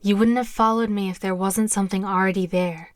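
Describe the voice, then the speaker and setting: A woman playing a friendly, reassuring college-age witch in an audio drama, with a casual, soft-hearted manner. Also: mid-range voice, steady tone, a little fast, no echo, natural voice. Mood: tender coaxing.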